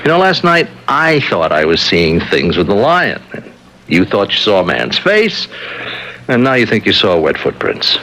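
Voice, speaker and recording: A man's voice: on the low side, wildly swooping pitch, a little slow, pushed and bright, full character voice. A man playing a sceptical, rationalizing husband in a 1970s radio mystery, talking in a hesitant but insistent conversational way. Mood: baffled, insistent. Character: married male playwright, sceptical, rationalizing husband. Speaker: radio drama actor. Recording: noisy 1970s radio broadcast recording.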